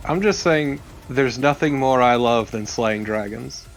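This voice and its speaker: A man's voice: medium pitch, a little slow, gravelly, a little hiss or hum. A man co-commentating on a video game in a conversational, blunt and opinionated way. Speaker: let's play co-commentator. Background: game music.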